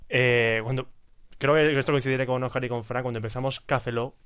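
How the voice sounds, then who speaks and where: A man's voice: mid-range voice, steady tone, fairly quick, a bit bright, clean tone. A man with a Spanish accent co-hosting a podcast, making sarcastic jokes in casual chat. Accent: Spanish from Spain. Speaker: podcast co-host.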